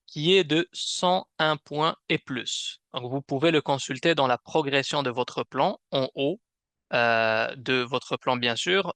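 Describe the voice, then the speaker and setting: A man hosting a webinar with a semi-scripted presentation, explaining in a measured way with some hesitation. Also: on the high side, fairly bright tone, no room sound. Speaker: webinar host and program manager.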